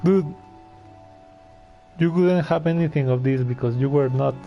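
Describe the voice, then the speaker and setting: Male game streamer, unhurried, slightly high voice, slangy muttered reactions, dull lo-fi mic, faint music. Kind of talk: casual gameplay commentary.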